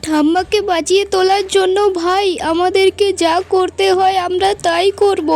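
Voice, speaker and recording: A woman's voice: very high voice, steady tone, normal speed, darkish tone, soft background music. A woman voicing a stubborn, devoted village girl in a cartoon, insistent and earnest. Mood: pleading, determined. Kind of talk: cartoon voice acting, dramatic dialogue.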